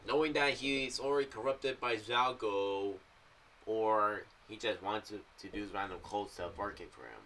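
A man's voice: lowish voice, moderate pace, fairly bright tone. A young adult man giving casual, rambling commentary as he shares theories on a series. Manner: rambling, loosely phrased. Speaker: YouTuber reviewing an audio drama series. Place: small home room. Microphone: condenser mic on boom arm with pop filter, slightly off to the side. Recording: slightly distant mic, faint background noise.

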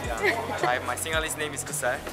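A man's voice: a little high, very animated, fairly quick, over loud music, a loud crowd. A young man who is co-hosting an event, chatting in a teasing, joking way. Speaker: fellow emcee. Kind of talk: playful casual chat.

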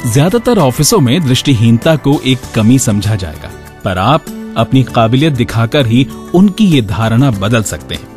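A man's voice: mid-range voice, sing-song, normal speed, darkish tone. A man hosting a radio show, reading scripted narration. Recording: music behind the voice. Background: background music.

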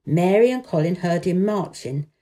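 A woman storyteller giving an expressive narration of a children's book. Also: fairly low pitch, normal speed.

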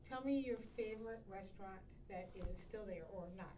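A woman interviewing a guest in a chatty, encouraging way. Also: dark tone. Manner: chatty, encouraging, quick back-channel replies. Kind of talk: casual interview questions. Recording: off-mic voice with faint rumble.

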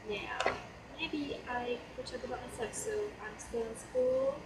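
Teen girl with German accent, hoarse. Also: fairly high pitch, a little slow. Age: teens. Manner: chatty, hesitant, many fillers. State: hoarse from a cold. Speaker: let's play host. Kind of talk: casual gameplay commentary. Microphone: laptop mic. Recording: roomy, distant laptop mic with fan noise. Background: laptop fan noise.

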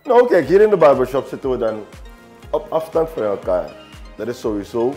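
A man in his thirties with a Surinamese accent, answering in an unscripted interview in a halting way, repeating phrases for emphasis. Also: mid-range voice, sing-song, a little mellow. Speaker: barber, small business owner interviewee. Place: small barbershop, indoors, seated. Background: background music.

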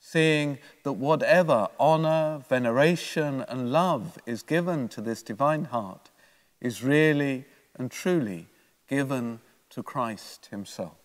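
Older priest in a reverberant church. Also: male voice, medium pitch, slow. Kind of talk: homily, read from prepared notes. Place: church, at a lectern. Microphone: gooseneck lectern microphone.

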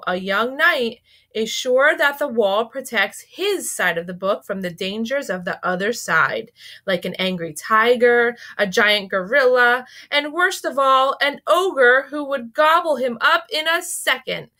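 A woman reading a scripted narration that recommends children's books, expressive and carefully enunciated. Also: a little high. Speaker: library book recommender, presenter. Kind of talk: scripted promotional narration of children's book picks.

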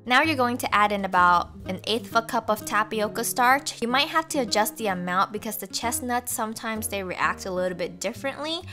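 A woman's voice: fairly high pitch, expressive, brisk, hardly any pauses, sharp tone, soft background music. A young woman narrating a home recipe video, walking through each step cheerfully as the channel's host. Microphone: voice-over.

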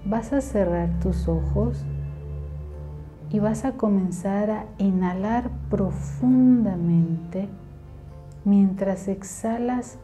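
A woman's voice: mid-range voice, drawn-out words, music in the background. A woman leading a guided meditation in a soothing, instructional way.

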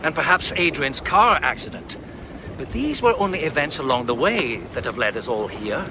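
A man plays an intense amateur sleuth in a radio mystery. His high, animated voice lays out a case with theatrical deliberation, over clearly noisy boat ambience outdoors. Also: lightly acted. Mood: grave, intent. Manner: theatrical, deliberate, building suspense. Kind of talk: radio drama acting.

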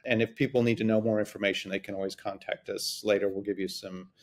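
A middle-aged man hosting a podcast about divorce law over a video call from his office, explaining in a relaxed way and repeating words as he thinks. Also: on the low side, quick pace. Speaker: host, family law attorney. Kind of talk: casual explanatory podcast talk. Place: office with framed map, indoors. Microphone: video call webcam.

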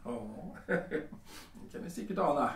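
Man playing smug lecherous café owner, oily, hesitant, animated intonation, roomy sound, audio drama. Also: on the high side, lightly acted. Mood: insinuating. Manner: hesitant, oily, repeating himself. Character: smug, lecherous male Viennese café owner, haggling and menacing. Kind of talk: Swedish audio drama acting. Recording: roomy, distant from the mic.

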